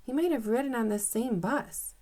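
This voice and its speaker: A woman's voice: on the high side, moderate pace, warm tone, pitched above the performer's own voice, close to an everyday voice. A woman voicing a playful, teasing young boy in a children's storybook, with a joking, wondering manner.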